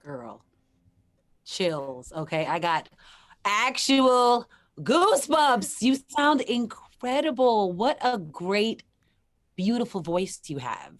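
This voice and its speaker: Effusive raspy woman host. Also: medium pitch, big pitch swings, takes it slowly. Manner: effusive, chatty with many restarts. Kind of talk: casual chat.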